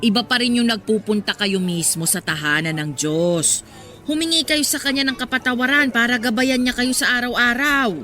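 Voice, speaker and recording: A woman's voice: a little high, lively intonation, normal speed, sharp tone, faint music behind. An actress playing a nosy, pious older village woman who pries and admonishes in a Filipino radio drama. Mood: scolding, pious. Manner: prying, admonishing, emphatic.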